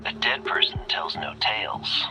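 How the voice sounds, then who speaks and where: A man's voice: very deep voice, lively intonation, measured pace, in a whisper, music in the background. A man playing a menacing, mocking criminal boss in a video game. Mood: cold threat. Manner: threatening, mocking. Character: menacing criminal boss running a shady operation.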